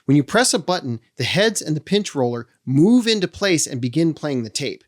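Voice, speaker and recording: A man's voice: on the high side, big pitch swings, moderate pace. A man hosting a product walkthrough, explaining features step by step with dry humorous asides. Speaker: host of a how-to channel on second-hand audio gear.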